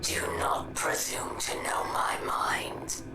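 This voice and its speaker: Woman acting as a defiant game alien warlord, cold, creaky whisper, breathy, echoing. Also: close to an everyday voice. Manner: slow, hissed, cold. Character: bitter, defiant female alien warlord, menacing and guarded. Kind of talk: scripted game drama. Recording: echoey game scene.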